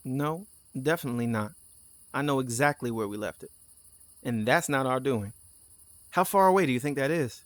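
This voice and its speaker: Sci-fi audio drama sidekick: male actor as casual, teasing, candid crewmate, middle-pitched voice, dry studio sound. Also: lively intonation, normal speed, long pauses, fairly bright tone, dry recording, close to an everyday voice. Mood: alert. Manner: casual, conversational. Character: easygoing, teasing, candid male crewmate on time-travel mission. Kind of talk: naturalistic audio drama dialogue.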